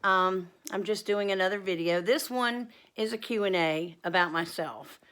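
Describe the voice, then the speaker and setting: A woman's voice: medium pitch, normal speed, fairly bright tone. A woman, chatting to camera in a rambling, casual Q and A for her homestead vlog. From North Carolina, USA. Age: 50s. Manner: chatty and rambling, many ums and asides. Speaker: homestead vlogger.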